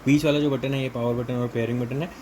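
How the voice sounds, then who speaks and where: Indian man, even-toned, noisy recording. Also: mid-range voice, fast. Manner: explanatory, mixes in English technical terms. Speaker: product reviewer. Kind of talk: casual unboxing and product walkthrough.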